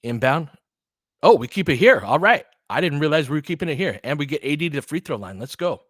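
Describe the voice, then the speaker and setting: A man's voice: a little high, very animated, fast talker, fairly bright tone, no echo, clean studio sound. A man giving casual, opinionated basketball play-by-play as a fan host, chatting conversationally with listeners between calls. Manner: conversational, opinionated, answers chat commenters by name.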